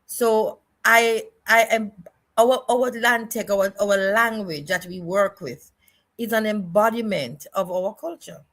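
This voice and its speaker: A woman's voice: mid-range voice, slow pace, fairly bright tone. Jamaican woman reflecting with emphasis. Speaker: guest, choreographer and dance educator. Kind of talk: reflective interview conversation.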